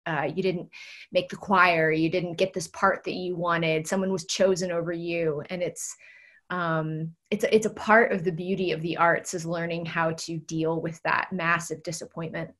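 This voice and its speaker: Earnest low-pitched woman. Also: level tone, normal speed, fairly bright tone. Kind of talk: casual conversation.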